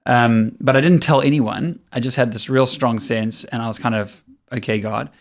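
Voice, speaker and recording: A man in his forties with a South African accent, a pastor telling his story as a guest in a casual, relaxed podcast chat. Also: mid-range voice, normal speed. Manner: relaxed, storytelling, laughs easily. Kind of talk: casual conversational interview.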